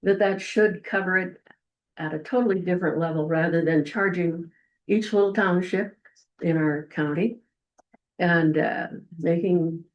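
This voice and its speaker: Loud, rambling older woman. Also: lowish voice, a little slow, lo-fi sound, slight background noise. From Eldora, Iowa. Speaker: resident giving public comment. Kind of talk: public comment at a county meeting.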